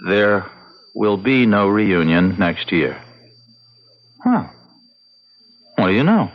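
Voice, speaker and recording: Radio drama role: eager young inventor, hugely animated intonation, defensive streak, muffled vintage sound with light noise. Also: male voice, on the low side, slow, heavily acted. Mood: humoring. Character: enthusiastic young man devoted to his computer experiment. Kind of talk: old-time radio drama acting. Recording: dull lo-fi radio recording, faint noise.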